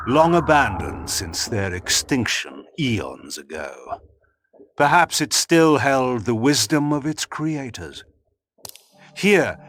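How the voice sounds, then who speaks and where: A man voicing a proud, brooding vampire lord in a video game, narrating theatrically and deliberately. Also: medium pitch, fairly bright tone. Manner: grandiloquent, deliberate, portentous.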